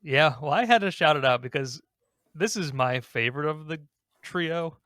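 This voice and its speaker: A man's voice: fairly high pitch, animated, moderate pace, a bit bright, no echo, studio quality. A man co-hosting a podcast, chatting casually about video games in an informal, self-deprecating way. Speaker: podcast host.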